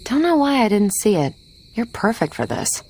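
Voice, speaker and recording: A woman's voice: medium pitch, sing-song, normal speed, a little mellow, soft background music. A woman playing a frank, worldly, dry hero in a video game cutscene, with faint background noise. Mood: approving. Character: frank, worldly female hero, dryly approving.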